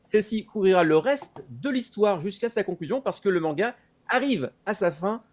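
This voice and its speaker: A man chatting through anime news as a show commentator, explaining in an animated, digressive way. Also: high voice, normal speed. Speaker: commentator on a web talk show about anime. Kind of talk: casual news chat.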